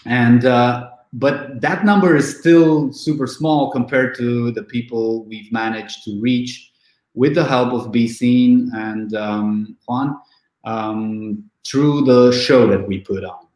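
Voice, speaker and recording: Man in his thirties, event organizer, halting speech full of ums, reverberant room sound. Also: mid-range voice, darkish tone. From Romania. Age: 30s. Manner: halting, many fillers and restarts. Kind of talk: casual online interview. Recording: echoey room.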